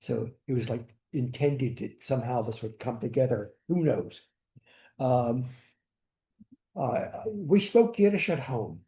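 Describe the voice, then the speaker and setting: Halting older man in an echoey hall. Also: medium pitch, expressive, mellow. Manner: halting, restarts, Yiddish words. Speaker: synagogue founder on a panel. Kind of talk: personal storytelling on a panel. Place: community hall panel. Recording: echoey room.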